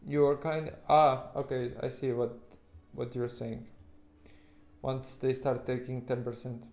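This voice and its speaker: Halting Estonian-accented man, livestream host. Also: mid-range voice, level tone, vocal fry, darkish tone. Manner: halting, rambling, informal, occasional swearing. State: sleep-deprived. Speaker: livestream host, futures trader. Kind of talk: casual livestream chat with viewers.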